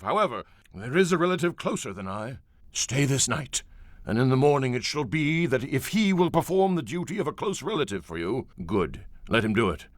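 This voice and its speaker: A man's voice: mid-range voice, no room sound, studio quality, lightly acted. A dignified, kindly landowner makes solemn declarations, as a man acts him out. His intonation rises and falls a great deal. It is a dramatized audio Bible. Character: wealthy kinsman Boaz, kind and dignified.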